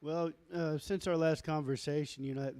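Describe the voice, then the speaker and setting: A man with a lower voice talking casually as an interview guest, halting and often repeating himself. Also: steady tone, darkish tone, dry recording. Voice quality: lower register voice. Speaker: musician and label founder guest.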